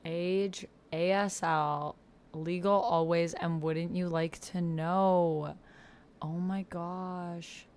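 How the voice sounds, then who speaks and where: A woman's voice: on the low side, steady tone, extremely slow, a little mellow, a little hiss or hum. A woman livestreamer talking casually and thinking aloud as she plays a text-based game and talks to her chat. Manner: reads and reacts aloud while talking to chat.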